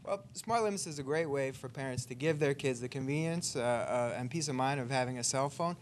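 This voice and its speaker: Guy pitching products in an interview, medium voice, agreeable, bit halting, some noise around him. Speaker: company spokesman interviewed. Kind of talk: promotional product explanation in live interview. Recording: noticeable background ambient noise.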